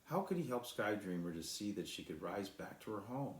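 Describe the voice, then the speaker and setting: A man's voice: lowish voice, expressive, fairly quick. A man narrating a children's story as an author reading his own book, recorded in an echoey room.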